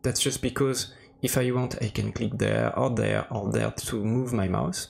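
Slow, gravelly man demonstrating software. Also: medium pitch, steady tone. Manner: hesitant, searching for words. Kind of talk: casual screen-recorded tutorial narration. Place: voice-over for a screen recording.

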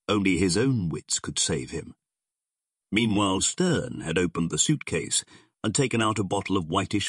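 A man with a husky voice reading scripted narration of a pulp adventure story as an audiobook narrator. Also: low voice, dry recording, clean studio sound.

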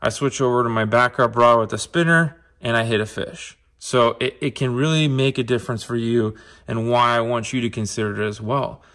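A man's voice: mid-range voice, steady tone, brisk, a bit bright. A man hosting a fishing show, giving a casual, upbeat tackle tutorial from his shop and talking straight to viewers. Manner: upbeat, conversational, addresses viewers as guys. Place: indoor shop, tabletop demo.

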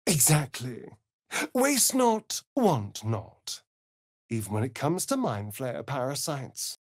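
A sardonic male vampire rogue from a fantasy game. His arch, seductive drawl is unhurried and swings theatrically up and down. The recording is dry and close. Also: mid-range voice, sing-song, dry recording. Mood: wry, amused.

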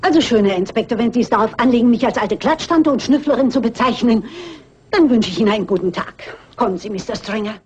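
An older actress playing a shrewd, stubborn elderly lady sleuth in a crime radio play, brisk, dignified and self-assured. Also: on the high side, darkish tone, breathy edge, highs cut off. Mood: indignant.

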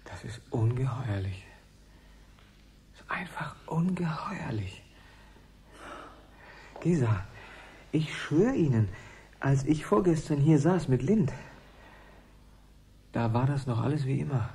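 An actor in a German radio play playing an earnest, shaken man who speaks haltingly. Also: mid-range voice, animated, mellow. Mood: shocked. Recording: 1980s radio drama, faint noise.

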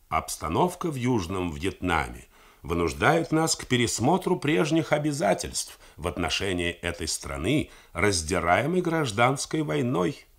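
A man reading the part of an older defense minister who speaks slowly and deliberately. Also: fairly low pitch, a bit bright, full character voice. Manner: slow, deliberate, emphatic. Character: blunt older male defense minister, measured. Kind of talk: radio drama reading.

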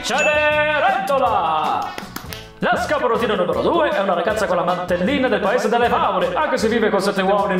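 A man's voice: high voice, distant mic. A man voicing a suave magic mirror who talks like a slick, showy game-show host in a cartoon fan dub. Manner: smooth, showy announcer delivery. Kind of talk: fan dub of an animated comedy. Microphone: studio microphone on boom arm. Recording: echoing voice over loud film music.

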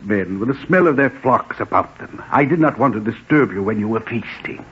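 An actor playing a nervous, deferential king's servant with a raspy voice and hesitant manner in a 1940s radio drama. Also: fairly low pitch, animated, normal speed. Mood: anxious, deferential. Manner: hesitant, obsequious. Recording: dull 1940s radio recording.

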